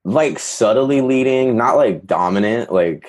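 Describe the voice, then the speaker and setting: A man's voice: medium pitch, expressive, a little slow, darkish tone. A man in his twenties, a podcast guest, rambling casually with constant fillers and laughs. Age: 20s. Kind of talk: casual chat.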